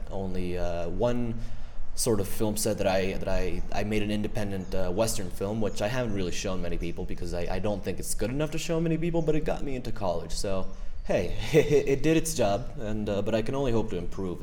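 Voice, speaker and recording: A man's voice: lowish voice, darkish tone, a little hiss or hum. An eighteen-year-old man from Ecuador with an Ecuadorian accent, hosting his own podcast as a casual, rambling solo monologue full of fillers and self-deprecating asides. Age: teens. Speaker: podcast host. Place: room at home.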